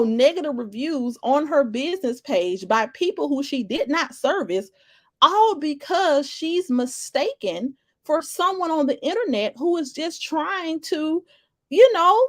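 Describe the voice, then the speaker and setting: A woman's voice: high-pitched, no echo, clean studio sound. A woman giving casual live commentary, greeting viewers and rambling through restarts and fillers. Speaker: livestream commentator.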